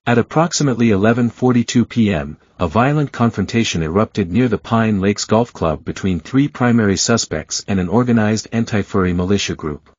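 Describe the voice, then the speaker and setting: Male alert announcer, lower register, formal and even delivery, muffled dry broadcast sound. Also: brisk, hardly any pauses, no echo. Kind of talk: scripted emergency bulletin reading. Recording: dull lo-fi dry broadcast audio.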